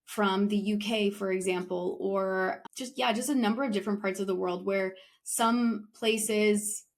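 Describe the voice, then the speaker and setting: A woman's voice: medium pitch, fairly even intonation, measured pace. A woman from Canada hosting a casual podcast interview, upbeat and chatty, with an enthusiastic manner. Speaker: podcast host, realtor.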